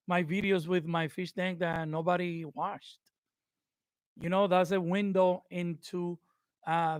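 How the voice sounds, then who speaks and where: A man's voice: fairly high pitch, no echo. A man whose first language is Spanish gives casual advice to viewers, hesitant and full of fillers and restarts. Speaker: YouTube creator. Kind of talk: casual advice talk to camera.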